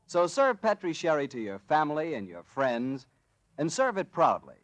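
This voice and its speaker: A man's voice: a little high, wildly swooping pitch, moderate pace, dry recording. An American man announcing and hosting in an emphatic 1940s radio advertising style.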